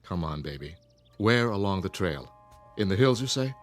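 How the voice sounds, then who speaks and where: A man's voice: sits low, animated, moderate pace, faint music behind. An actor playing a stern, wealthy, protective middle-aged father in a radio drama, speaking formally and with authority. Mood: calm, coaxing.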